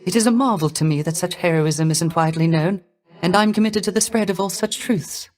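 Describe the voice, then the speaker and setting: Male actor as melancholy young male bard, soft airy voice, courtly formal diction, earnest, theatrical video game character. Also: high-pitched, a little fast, natural voice. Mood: admiring. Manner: ornate, formal, deferential diction. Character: gentle, melancholy, courtly young male poet-musician of the underworld.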